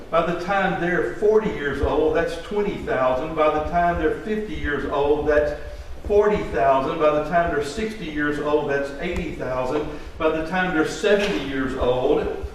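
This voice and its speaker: A folksy, emphatic man in middle age leads a money seminar, tossing questions to his audience. His voice sits a little high. He is picked up from across a very echoey room with faint hiss. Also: far from the mic. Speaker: financial advisor teaching a seminar. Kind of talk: classroom presentation. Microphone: room mic from a distance.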